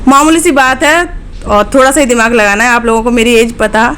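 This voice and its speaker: A woman's voice: fairly high pitch, a little fast, fairly bright tone, a little hiss or hum. Chatty woman vlogger, late twenties. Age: late 20s. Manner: chatty, direct, frequent "okay" check-ins. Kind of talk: casual chat to viewers.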